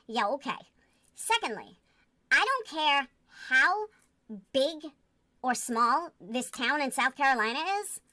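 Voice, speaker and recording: A woman with a high-pitched voice talks in a close, dry sound. She is blunt and sure of herself, laying out her opinions with firm emphasis in the casual way of a livestream commentator. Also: sharp tone, no room sound. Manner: blunt, emphatic, self-assured. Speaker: online commentator.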